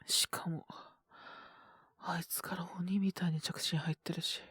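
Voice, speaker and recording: A woman playing a sick young male schoolteacher in a Japanese audio drama, gentle and flustered, speaking hesitantly in a weak, feverish mumble. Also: fairly low pitch, little pitch movement, husky, dry recording, whispered, studio quality, full character voice. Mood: groggy. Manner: hesitant, mumbling, trailing off with many pauses. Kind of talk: Japanese boys' love situation voice drama.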